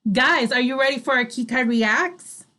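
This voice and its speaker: A woman's voice: high-pitched, moderate pace, pushed and bright. A woman co-hosting a casual live online talk show, chatting in a giggly, informal way. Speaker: online radio show co-host.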